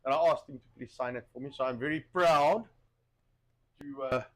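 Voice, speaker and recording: A man's voice: mid-range voice, normal speed. A man hosting a casual cooking livestream, rambling and repeating himself while getting over a cough with a wheezy chest. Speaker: host of a cooking show.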